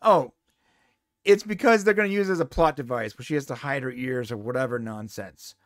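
A man co-hosting a podcast, giving an opinionated, animated review in casual chat. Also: medium pitch, a little fast, dry recording, studio quality. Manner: animated, opinionated, exasperated asides. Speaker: podcast co-host.

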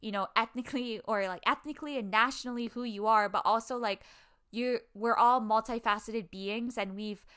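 A young woman from Hawaii talks through a personal story in relaxed conversation, peppering it with "like" and animated asides. Her voice sits a little high and sounds bright and smooth. She keeps a casual, open manner over a video call. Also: moderate pace. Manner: chatty, heavy use of "like", animated storytelling.

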